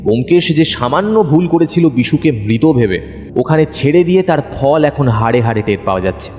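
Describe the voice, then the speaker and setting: A man's voice: fairly high pitch, a little fast, soft background music. Suspenseful male story narrator, long reverb. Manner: suspenseful, expressive. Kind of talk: dramatic scripted first-person detective story narration.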